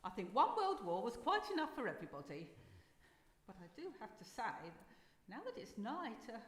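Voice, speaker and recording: An actress playing a brisk, dismissive upper-class English wife with a plummy, theatrical delivery in a live period radio drama. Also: female voice, a little high, huge pitch swings, fairly bright tone. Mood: uneasy. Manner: theatrical, plummy, old-fashioned phrasing. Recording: echoey hall, faint noise.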